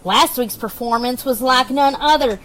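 A woman's voice: high-pitched, normal speed, a bit bright, slight background noise, pitched above the performer's own voice. A woman playing an earnest sports co-commentator with polished, emphatic delivery in a comedy sketch. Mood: earnest, impressed. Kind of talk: mock sports broadcast commentary.

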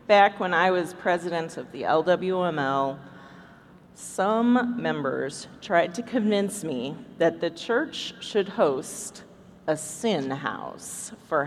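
A woman's voice: deep voice, heavily acted. Woman acting dry, skeptical church lady, echoey hall. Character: Gladys, dry, skeptical longtime church-ladies' group member. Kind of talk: live comedy sketch acting. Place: convention stage in a large hall. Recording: echoey hall, live stage, background noise.

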